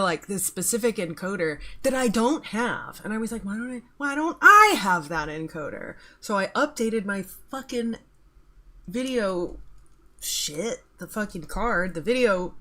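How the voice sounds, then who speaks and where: A woman's voice: medium pitch, fairly bright tone. A woman in her thirties chatting casually on a stream while she works, rambling and swearing offhandedly as she reads out the chat. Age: 30s.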